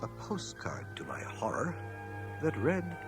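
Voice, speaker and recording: An actor playing a reflective older gentleman, narrating his past in a literary, expressive radio drama style. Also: fairly high pitch, takes it slowly, in a whisper, faint music behind, heavily acted. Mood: reflective, dramatic. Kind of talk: scripted first-person radio drama narration. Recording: cassette transfer of 1980 radio broadcast.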